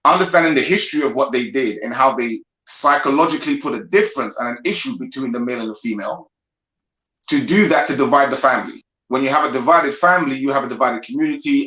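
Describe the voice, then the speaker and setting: A man with a mid-range voice speaks as a guest on a livestream panel. He builds his point step by step and often pauses to ask "right?" His voice echoes around the room over a video call. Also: mid-range voice, bright, forceful tone. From grew up in the United Kingdom. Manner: measured, builds his argument with frequent "right?". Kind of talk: discussion monologue.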